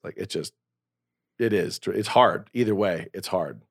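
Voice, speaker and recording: Male host, 40s, low voice, dry close mic, earnest rambling chat. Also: normal speed, creaky, dry recording. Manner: rambling, restarts often, earnest. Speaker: podcast host and novelist. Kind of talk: casual conversation.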